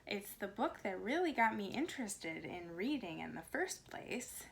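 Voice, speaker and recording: A woman's voice: medium pitch, big pitch swings, moderate pace, vocal fry, rough recording. A woman reading a storybook aloud to young children, expressively and with simple explanations, in a home recording. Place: cozy corner at home. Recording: faint background noise, home recording.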